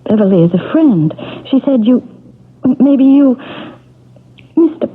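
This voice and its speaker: A woman's voice: high voice, expressive, unhurried, husky, full character voice. An actress playing an anxious, desperate woman who stammers and hesitates in a radio drama. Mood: distressed. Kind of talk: 1970s radio drama acting. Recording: tinny old radio broadcast.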